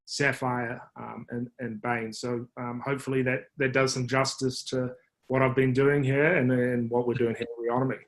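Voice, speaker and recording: A man in his thirties with a New Zealand accent, a marketing executive answering interview questions in a measured, explanatory way, with frequent fillers and restarts. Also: medium pitch, level tone, rough recording. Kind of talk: business podcast interview.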